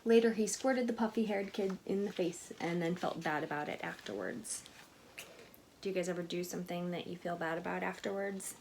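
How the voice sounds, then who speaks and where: A woman reading a picture book aloud to children in an expressive way, with chatty asides to her young listeners. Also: lowish voice, fairly quick, gravelly, a little hiss or hum, poor audio. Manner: animated, expressive storytelling with questions to listeners.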